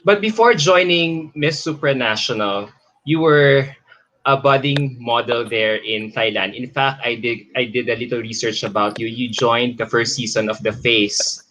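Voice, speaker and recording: A man hosting a conversational interview, framing long questions haltingly and repeating his phrases as he goes. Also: mid-range voice, a bit bright. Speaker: live show host, interviewer. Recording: clearly noisy background with clicks.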